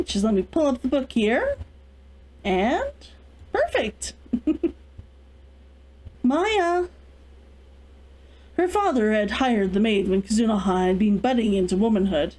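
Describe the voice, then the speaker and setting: Animated, higher-voiced woman reading a story. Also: rough recording. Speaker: author and host of a read-along series. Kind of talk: casual chat and expressive story read-aloud.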